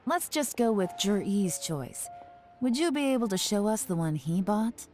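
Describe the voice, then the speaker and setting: A woman playing a spymaster in a video game, calm and cool, in full command. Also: medium pitch, moderate pace, close to an everyday voice. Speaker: spymaster leading an investigation. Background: game music.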